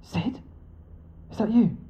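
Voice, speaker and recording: A man's voice: slow, warm tone, natural voice. Actor as jittery lovesick young guy in an audio drama, earnest, hesitant, bit of background noise. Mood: nervous, edgy.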